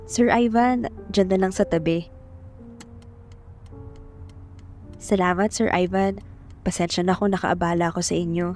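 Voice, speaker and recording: A woman's voice: on the low side, fairly even intonation, moderate pace, darkish tone, soft background music, lightly acted. A woman playing a shy, smitten young woman narrating an audio drama, with a Filipino accent. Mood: shy, polite.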